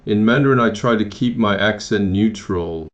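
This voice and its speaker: A man from Taiwan narrating his own memoir in a measured, matter-of-fact way, with occasional restarts. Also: fairly low pitch, highs cut off. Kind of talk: first-person memoir narration.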